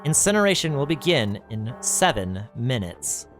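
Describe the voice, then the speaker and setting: A man voicing a flat, mechanical automated countdown announcement. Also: mid-range voice, normal speed, soft background music, pitched above the performer's own voice.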